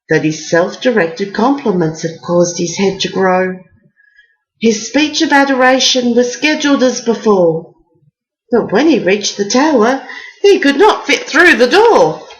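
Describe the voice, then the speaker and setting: Female bedtime storyteller, unhurried rhyming narration, mid-pitched, slightly muffled home recording. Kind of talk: bedtime picture-book reading in rhyming verse.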